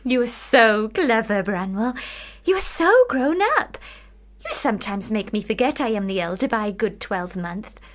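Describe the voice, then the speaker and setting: A woman's voice: medium pitch, lively intonation, moderate pace, natural voice. A woman in a radio play playing a precocious, earnest young girl with a prim, formal, childlike way of talking. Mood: wheedling. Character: young Charlotte Bronte, precocious earnest girl, older sister. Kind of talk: radio drama acting.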